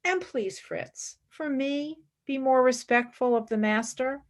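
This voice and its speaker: A woman acting in a radio play as an idealistic, exacting young philosophy student, earnest and expressive. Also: sits high, a little slow. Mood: reproving.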